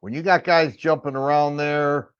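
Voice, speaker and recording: A middle-aged man from Michigan with a raspy voice, hosting a casual firearms livestream and chatting with viewers, rambling and restarting his sentences often. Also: on the high side, steady tone, normal speed. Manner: rambling, with frequent stammered restarts. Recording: dull lo-fi stream audio.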